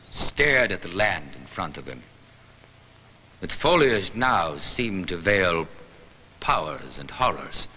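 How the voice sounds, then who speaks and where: A man giving a dramatic staged reading of literary prose, with declamatory, deliberate phrasing. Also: on the low side, pushed and bright. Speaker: actor, reader. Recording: noisy vintage 1960s recording.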